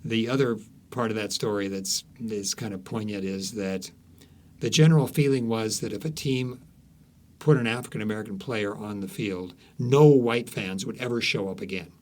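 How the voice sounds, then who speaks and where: A man with a fairly low voice talks as a guest author in an interview. He tells stories conversationally, pausing to hunt for the right word and repeating words for emphasis. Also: darkish tone. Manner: halting, searching for words, emphatic repetition.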